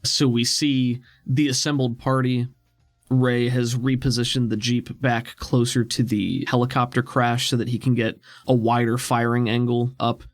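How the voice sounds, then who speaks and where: A man's voice: mid-range voice, flat delivery, moderate pace, no room sound, studio quality, soft background music. A man acting as game master, narrating a tabletop role-playing game in descriptive detail. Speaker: game master of an actual-play podcast.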